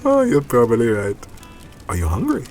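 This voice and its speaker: An actor playing a gentle, courteous homeless man, once an engineer, with polite, formal speech in an audio drama. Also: mid-range voice, huge pitch swings, normal speed, faint music behind. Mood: kindly.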